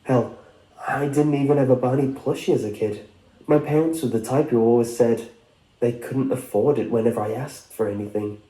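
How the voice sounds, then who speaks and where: A man's voice: mid-range voice, fairly even intonation, normal speed, warm tone, echoey room, low-quality recording. A man reading a scripted first-person story as a narrator. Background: background music.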